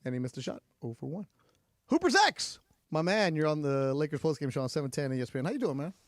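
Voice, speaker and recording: A radio sports host with a fairly high voice that shoots even higher when he gets fired up. His delivery is excitable and chatty on a dry, close studio mic. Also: quick pace, no room sound. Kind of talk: postgame radio call-in show.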